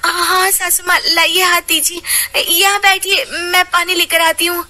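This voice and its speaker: A woman voicing a gentle, distressed young daughter-in-law in a cartoon story, pleading respectfully and earnestly. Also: very high-pitched, flat delivery, normal speed, soft background music, pitched above the performer's own voice, full character voice. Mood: dutiful, helpful.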